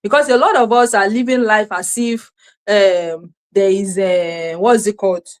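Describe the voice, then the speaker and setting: A woman's voice: mid-range voice, measured pace. A Nigerian woman whose first language is Yoruba, hosting a motivational livestream, repeating key words and asking her audience rhetorical questions. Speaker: livestream host and self-help coach. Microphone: streaming setup, talking to camera.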